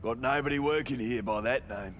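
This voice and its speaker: A man's voice: medium pitch, fairly quick, fairly bright tone, slight background noise, close to an everyday voice. An actor playing a sleazy, cynical male brothel manager in a cyberpunk video game, talking in crude, dismissive slang. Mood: dismissive, snide. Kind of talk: video game dialogue acting.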